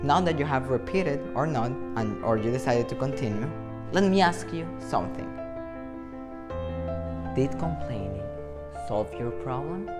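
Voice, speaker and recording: A man in his twenties with a Venezuelan accent, a motivational speaker talking to camera in a playful, animated way and acting out imagined dialogue. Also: mid-range voice, a little slow, long pauses, music in the background. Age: 20s. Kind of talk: talk to camera.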